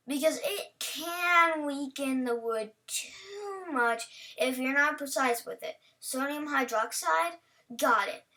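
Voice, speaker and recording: Female reaction host: high, bright voice, playful casual rambling, recorded a little far from the mic. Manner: rambling, playful, talks to the on-screen character.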